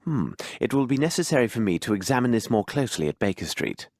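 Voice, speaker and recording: A man voicing a shrewd, imperious gentleman detective in a video game, with a British accent and a precise, formal, theatrical delivery. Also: mid-range voice, big pitch swings, fast talker, no room sound, clean studio sound. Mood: musing. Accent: British English. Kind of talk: dramatic video game voice acting.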